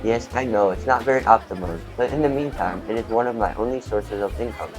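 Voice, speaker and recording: A man's voice: lowish voice, a little fast, music in the background, natural voice. A man acting a cheerful, boastful food truck owner in an amateur radio play, with earnest, theatrical, formal wording. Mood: eager, confiding.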